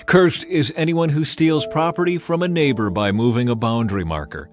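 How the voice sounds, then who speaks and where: A solemn, commanding male prophet, voiced by a man, addresses his people in dramatized scripture. His mid-pitched voice is stern, declamatory and deliberate, with music clearly audible behind it. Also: close to an everyday voice. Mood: stern, condemning.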